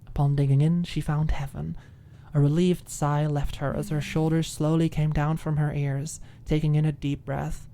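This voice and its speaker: A woman reading a scripted fiction audiobook in an expressive, ASMR storytelling style. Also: low voice, moderate pace, slight background noise. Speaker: audiobook narrator.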